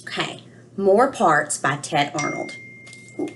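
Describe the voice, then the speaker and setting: A woman reading aloud and teaching children, explaining expressively and pausing to ask questions. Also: deep voice, expressive, fairly bright tone, a little hiss or hum. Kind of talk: read-aloud lesson with questions to young listeners.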